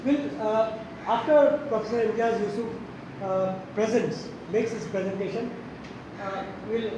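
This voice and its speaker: A man moderating a lecture event, giving opening remarks in a halting way, full of fillers and restarts. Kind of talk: introductory remarks. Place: lecture hall. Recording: distant, very echoey hall with noise and rumble.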